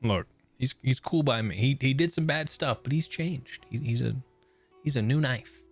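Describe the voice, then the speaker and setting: A man's voice: mid-range voice, moderate pace. A man streaming a game and reacting casually in a slangy, jokey way. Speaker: gaming streamer. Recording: game music behind the voice.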